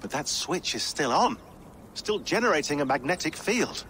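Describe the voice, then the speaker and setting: An actor playing a talkative, sardonic tech expert in a video game. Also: male voice, on the high side, moderate pace, slight background noise. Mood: wry. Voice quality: sardonic, animated.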